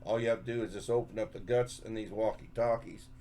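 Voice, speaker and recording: A man giving a casual, unscripted hands-on explanation as a hobby video host, halting and often repeating and rephrasing himself. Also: lowish voice, steady tone, warm tone, slight background noise.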